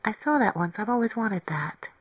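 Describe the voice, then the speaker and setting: Narrator voicing an eager, high-pitched, headstrong young woman. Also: big pitch swings, a little fast, no room sound, close to an everyday voice. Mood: dreamy, wistful. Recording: thin, phone-like band-limited audio.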